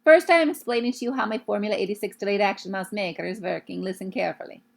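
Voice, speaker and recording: A woman voicing an imperious, child-hating Grand High Witch for a children's storybook, commanding and emphatic. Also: very fast, gravelly.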